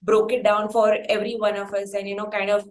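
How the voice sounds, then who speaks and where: An echoey, roomy recording of a woman with an Indian accent. She sits at a medium pitch and interviews conversationally, building long, effusive questions as the host. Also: little pitch movement, fast talker. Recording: roomy, echoing sound.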